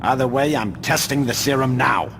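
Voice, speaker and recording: An actor playing a ruthless middle-aged company boss, curt and commanding, in a video game. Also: male voice, medium pitch, normal speed, natural voice. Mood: cold impatience. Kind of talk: video game cutscene acting. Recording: clear background noise.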